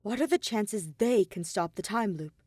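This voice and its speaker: Lady doing a nervous young detective guy, earnest, easily flustered, very animated, dry close sound. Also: mid-range voice, moderate pace, no echo, close to an everyday voice. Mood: anxious, reasoning. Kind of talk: fan fiction audio story character voice.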